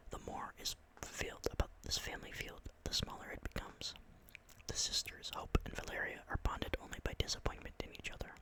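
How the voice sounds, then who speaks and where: A tired young man doing whispered ASMR, reading game lore close to the mic with rambling, excitable, apologetic asides. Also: fairly quick, rough recording. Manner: soft unvoiced whisper throughout. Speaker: ASMR creator. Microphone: close microphone.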